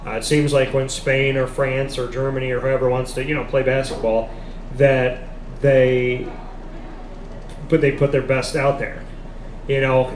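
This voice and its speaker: A man hosting a live sports talk, speaking emphatically and repeating his points for stress. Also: mid-range voice. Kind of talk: live casual sports discussion. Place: café. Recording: echoey café with background noise.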